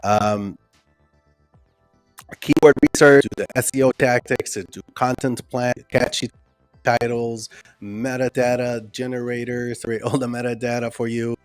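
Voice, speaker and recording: A man's voice: mid-range voice, little pitch movement, unhurried, smooth, dry recording, soft background music, poor audio. A man whose first language is not English presents a live online workshop in a loose, conversational way, with many ums and restarts, and reads out viewer comments.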